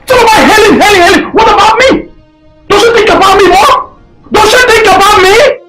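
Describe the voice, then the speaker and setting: A man's voice: high-pitched, very animated, a little slow, poor audio. An actor playing a grown son defending his affair to his mother, impassioned and close to tears, with music behind.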